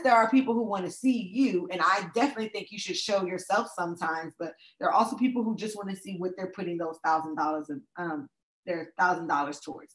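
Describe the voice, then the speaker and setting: A woman with a slightly raspy voice, casually talking through a tutorial step by step and often checking in with "okay?". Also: fairly low pitch, fairly bright tone, low-quality recording. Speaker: travel agent teaching video making. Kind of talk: screen-recorded tutorial walkthrough.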